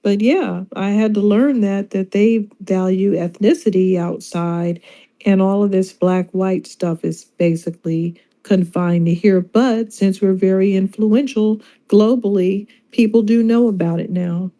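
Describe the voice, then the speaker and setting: A woman co-hosting a talk show, telling her point in a relaxed, conversational way. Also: mid-range voice, a little slow. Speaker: co-host. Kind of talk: casual talk show chat.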